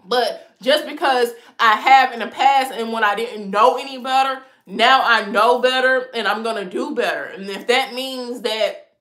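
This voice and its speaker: A woman's voice: a little high, moderate pace, fairly bright tone. A woman in her thirties, stuffy from a cold, chatting frankly with viewers about dating and often laughing. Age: 30s. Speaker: lifestyle vlogger. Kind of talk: casual chat answering viewer comments.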